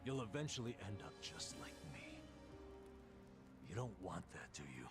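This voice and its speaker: A bitter, guilt-ridden video game character with a low voice. He is blunt and jaded, and his delivery rises and falls dramatically. Music sits behind the lines. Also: sing-song, normal speed. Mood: anguished, bitter. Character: bitter, guilt-ridden, world-weary man pushing his friend away.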